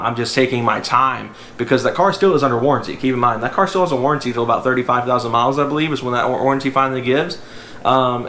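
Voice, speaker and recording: A young man talks to his viewers in a loose, rambling way, with plenty of ums and uhs. His voice sounds a little rough and hoarse, and it rings a bit in a reverberant room over a faint hum of background noise. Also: mid-range voice, a bit bright. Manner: rambling, filler-heavy, conversational. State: slightly hoarse voice. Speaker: car vlogger. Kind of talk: casual talk to camera.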